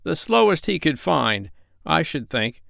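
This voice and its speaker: A man's voice: a little high, sing-song, measured pace, creaky, a bit bright, dry recording, studio quality, pitched above the performer's own voice, full character voice. A man voicing a fretful, complaining pig in a children's storybook. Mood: complaining, panicky. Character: grumbling, fretful male pig.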